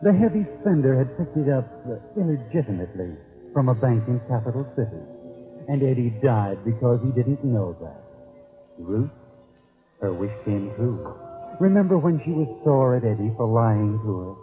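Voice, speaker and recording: An actor playing a mysterious, knowing storyteller who addresses the listener with irony in 1940s radio mystery narration. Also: male voice, mid-range voice, very animated, normal speed, lightly acted. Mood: knowing, ironic. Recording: muffled old radio recording, music behind. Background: music.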